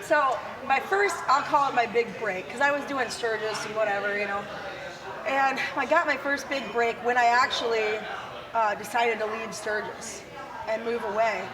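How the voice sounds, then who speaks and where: A woman from South Dakota with a gravelly, raspy voice, chatting casually and laughing now and then as an interview guest. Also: on the high side, moderate pace, crowd noise, low-quality recording. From South Dakota, USA. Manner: chatty and self-deprecating, laughs often. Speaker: musician guest. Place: outdoors at a windy motorcycle expo.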